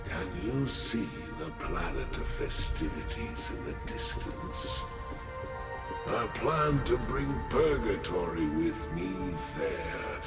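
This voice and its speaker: An actor playing an ancient, fanatical fire demon warrior in a video game, grandiose and solemn, with a gravelly growl. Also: male voice, on the low side, heavily acted. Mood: solemn, resigned. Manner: grandiose, solemn, slow and deliberate. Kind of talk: dramatic video game acting. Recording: cavernous reverb, loud music behind.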